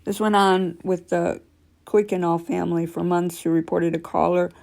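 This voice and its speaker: Female storyteller, scratchy and dark-toned, reading real-life creepy tales with offhand asides. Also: fairly low pitch, little pitch movement, normal speed, creaky. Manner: stumbles and corrects herself while reading. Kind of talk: reading aloud with casual asides.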